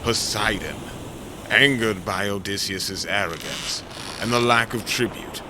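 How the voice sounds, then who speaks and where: Slow, solemn male epic narrator. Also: on the low side, noisy background. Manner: solemn, portentous. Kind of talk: dramatic scripted narration.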